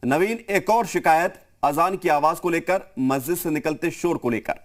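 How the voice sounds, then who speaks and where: A man's voice: a little high, moderate pace. A man anchoring live television news and putting questions to guests.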